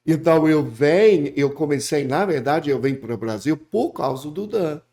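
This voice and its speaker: Man speaking halting Portuguese. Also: fairly high pitch, expressive, darkish tone. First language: English. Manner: halting, restarts, repeats words. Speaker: guest preacher. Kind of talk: casual interview chat.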